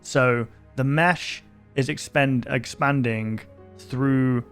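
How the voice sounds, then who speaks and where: A man's voice: mid-range voice, fairly even intonation, takes it slowly, fairly bright tone, clean tone, soft background music. Rambling man thinking aloud. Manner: thinks aloud, rambling with many fillers and restarts. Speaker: host reacting to videos. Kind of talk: casual reaction commentary.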